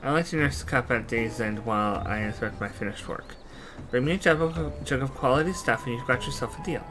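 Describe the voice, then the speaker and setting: A man's voice: mid-range voice, brisk, music in the background, lightly acted. A man voicing a shrewd, stubborn saddle maker in a video game, haggling brusquely. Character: shrewd, stubborn male saddle maker driving hard bargains. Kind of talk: amateur character voicing of game dialogue.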